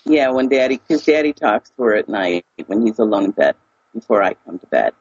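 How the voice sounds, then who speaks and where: A woman's voice: extremely low pitch, animated, fairly quick, a little mellow, breathy edge, dry recording. A woman who is a mother at a reading, giving brief, plain answers. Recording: dull, dry recording.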